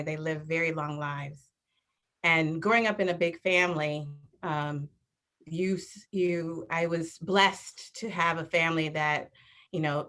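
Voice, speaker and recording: A woman from Detroit speaks over a video call with a somewhat low voice. She talks in a gracious, measured way, with a few hesitant starts. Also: fairly bright tone. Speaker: panelist. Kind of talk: introducing herself on a video call.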